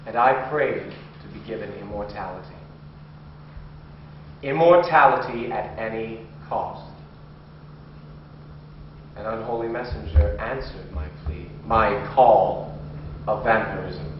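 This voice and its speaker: A brooding male vampire occultist, played by an actor, delivers a dramatic stage monologue. He speaks haltingly, with weighty pauses. The recording is dull and distant, with heavy room echo. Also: lowish voice. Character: brooding male vampire occultist confessing his dark transformation. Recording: very echoey room, distant dull mic, faint hiss.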